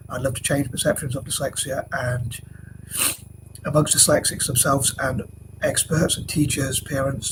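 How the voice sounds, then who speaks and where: Man with a cold, flat rambling voice. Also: mid-range voice. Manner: rambling with many fillers and restarts. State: has a cold. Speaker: vlogger. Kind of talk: casual talk to camera. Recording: noisy background hiss.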